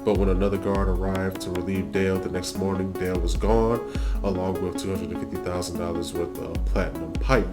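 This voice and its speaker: A man narrating a mystery documentary in a measured, scripted storytelling voice. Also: low voice, level tone, loud music behind the voice. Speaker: narrator of a played mystery video.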